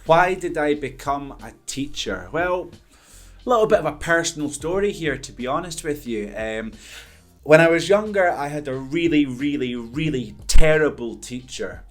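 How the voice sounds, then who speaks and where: A man's voice: fairly high pitch, animated, normal speed. Upbeat Scottish man, teacher to camera. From Scotland. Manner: upbeat and earnest, emphatic stresses. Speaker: English teacher. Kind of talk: direct-to-camera talk answering questions. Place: indoors before a green-screen backdrop. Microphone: studio condenser mic in front.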